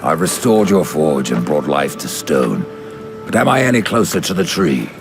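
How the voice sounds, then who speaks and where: An actor playing a grim, commanding horseman of death in a video game, with a gravelly, stern, dry delivery. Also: rumbling low, very animated, normal speed, heavily acted. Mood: urgent, resolute. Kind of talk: video game cinematic acting. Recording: music underneath. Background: game music.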